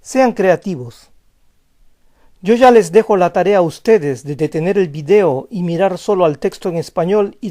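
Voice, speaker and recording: A young man giving a scripted language lesson as a voice-over, calm and explanatory, reading example phrases slowly. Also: sits high. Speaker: language teacher.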